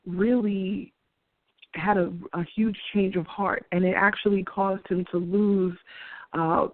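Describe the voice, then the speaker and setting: A woman's voice: on the low side, no room sound. A woman in her forties from New York, hosting and giving her views in an earnest, conversational monologue with restarts and light laughs. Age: 40s. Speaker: host. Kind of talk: opinion monologue. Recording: tinny phone-like dry sound.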